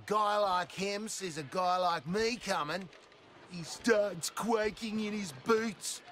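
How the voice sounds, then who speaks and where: An actor playing a boastful, bumbling Australian crook in a comic video game role. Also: male voice, high-pitched, takes it slowly, a bit bright, a little hiss or hum. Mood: cocky. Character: cocky, scruffy Australian male crook, boastful loudmouth. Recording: game music behind.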